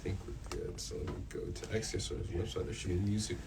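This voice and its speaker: A man's voice: sits low, steady tone, quick pace, vocal fry. A man hosting a live interview on student radio. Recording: distant mic with faint noise.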